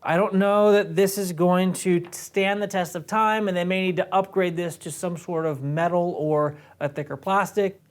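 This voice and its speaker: A man's voice: high voice, fairly even intonation, normal speed, few pauses. An American man in his thirties presenting a product overview to camera in a casual, explanatory way. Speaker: product reviewer and presenter for a motorcycle gear shop. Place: indoor video studio set.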